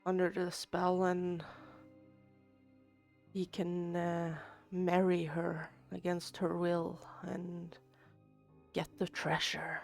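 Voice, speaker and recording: Stuffy-nosed woman streamer talking slowly. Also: lowish voice, completely flat delivery, gravelly. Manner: rambling, chatty. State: stuffy nose from a cold. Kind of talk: casual let's-play commentary. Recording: faint game music behind.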